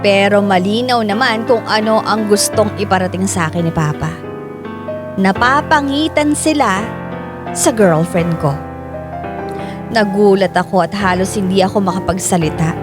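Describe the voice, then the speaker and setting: Female narrator played by an actress for radio drama: earnest, indignant first-person storytelling over a clear music bed. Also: mid-range voice, natural voice.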